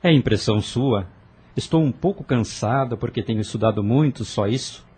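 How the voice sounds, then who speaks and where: A performer playing an evasive young student son in an audio drama, speaking in a subdued way. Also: male voice, medium pitch, moderate pace, darkish tone, slightly rough. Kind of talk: radio drama acting.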